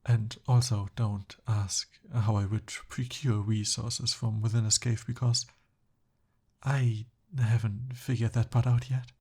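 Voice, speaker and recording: Man playing a haughty, flustered young prince, unhurried. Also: on the low side, flat delivery, a little mellow, husky. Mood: pompous, scheming. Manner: haughty formal speech, flustered and pleading. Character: pompous, pleading young human prince held by a dragon. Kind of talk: ASMR roleplay voice acting.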